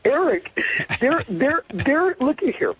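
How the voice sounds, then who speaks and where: A man's voice: very high voice, big pitch swings, slow, no echo. A man urgently persuading someone in a phone argument, pleading, repeating himself and cutting in, on a dull recording. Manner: pleading, repetitive, interrupting.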